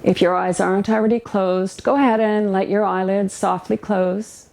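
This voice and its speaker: Woman softly guiding a relaxation meditation. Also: mid-range voice, fairly even intonation, gravelly. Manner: soothing, gentle instruction with unhurried pauses. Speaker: yoga nidra relaxation guide, therapist. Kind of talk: guided meditation narration.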